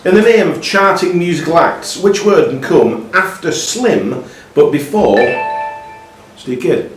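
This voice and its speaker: A man's voice: sits high, expressive, measured pace, faint music behind. A man hosting a live quiz, reading questions aloud to contestants in a roomy pub space. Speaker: quiz master. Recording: roomy, echoey pub room, slightly distant mic.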